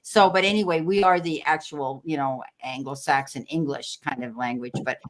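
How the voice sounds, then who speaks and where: A woman's voice: extremely low pitch, steady tone, normal speed. A Canadian woman hosting a live tarot reading, reassuring and calling people her darling. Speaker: tarot reader, stream host.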